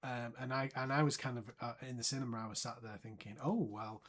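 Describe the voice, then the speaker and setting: A man co-hosting a casual podcast, soft-spoken and hesitant, trailing off quietly, sitting a little far from the mic. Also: mid-range voice, steady tone, fast. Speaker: podcast co-host. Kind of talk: casual chat. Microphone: sitting further from the mic.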